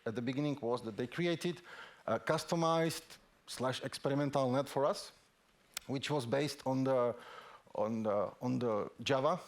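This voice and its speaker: A man speaking English presents a technical talk on a conference stage. His pitch sits in the middle for a man, and he works through his points haltingly, repeating words and filling pauses. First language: Slovak. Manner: hesitant, frequent restarts and fillers.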